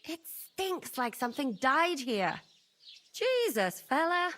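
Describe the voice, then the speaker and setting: An actress playing a bold young castle barmaid who teases and mocks. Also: female voice, high voice, big pitch swings, slow, a bit bright, heavily acted. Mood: mocking. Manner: teasing, mocking.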